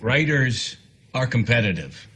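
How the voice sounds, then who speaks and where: A man's voice: mid-range voice, very animated, measured pace, a bit bright, a little hiss or hum. An actor playing a blunt, combative, boastful male writer in film dialogue, speaking in brusque declarations. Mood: blunt, scornful.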